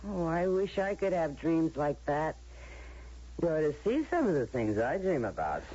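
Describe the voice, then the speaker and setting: A woman's voice: deep voice, sing-song, normal speed, warm tone, slight background noise. An actress playing a brassy, slangy working-class woman friend in an old-time radio drama, casual and blunt. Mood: breezy, incredulous. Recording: muffled old radio broadcast recording.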